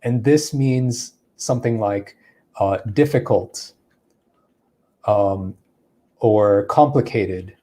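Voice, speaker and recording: Brief: male English teacher, mellow tone, explanatory, filler-heavy Q&A. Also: lowish voice, slow, long pauses. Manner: explanatory, thinking aloud with fillers. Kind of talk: answering viewer questions in a lesson.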